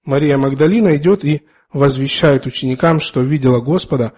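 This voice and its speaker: A man preaching in a conversational, earnest way and reading scripture, pausing often, a little unwell with a dry mouth. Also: medium pitch, a little mellow, breathy edge. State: slightly ill, dry mouth, clearing throat.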